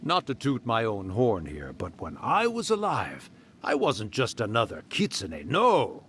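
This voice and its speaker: A man's voice: medium pitch, wildly swooping pitch, a little slow, fairly bright tone, slight background noise, exaggerated voice. A man voicing a mischievous old male fox spirit in a video game, theatrical and boastful. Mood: teasing. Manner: theatrical, hammy villain voice, then genial and teasing. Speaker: yokai spirit character.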